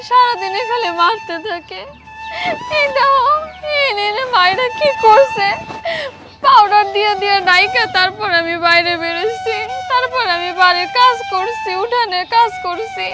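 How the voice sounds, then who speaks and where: A woman playing a long-suffering young village daughter-in-law in a TV drama, plaintive and pleading, with a rural Bangladeshi accent. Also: very high voice. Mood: tearful, complaining. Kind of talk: melodramatic Bangla TV drama acting.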